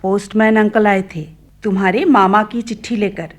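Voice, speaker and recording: A woman's voice: mid-range voice, steady tone, normal speed, lightly acted. A woman acting an anxious, devoted mother in a radio play, coaxing and pleading with worry. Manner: coaxing, imploring.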